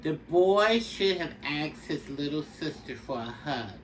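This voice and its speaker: A woman reading a picture book aloud for young children in a deliberate, instructive way. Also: low-pitched, expressive. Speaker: storybook reader. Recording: distant mic with faint background noise.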